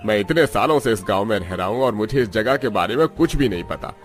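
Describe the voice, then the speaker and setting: A man plays a long-settled village chief in a cartoon tale. His voice is fairly low, his manner approving and reasonable. Soft music plays behind. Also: lively intonation, a little fast, lightly acted. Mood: impressed. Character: village headman, long-time resident, appreciative, reasonable. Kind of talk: Hindi cartoon story dialogue.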